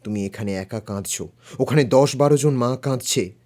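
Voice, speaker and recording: A man playing a stern, principled detective in an audio drama, speaking calmly and deliberately. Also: medium pitch, close to an everyday voice. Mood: calm reproach. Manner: calm, firm, deliberate. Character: stern, principled veteran male detective, unafraid. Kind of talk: Bengali audio drama acting. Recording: music behind the voice.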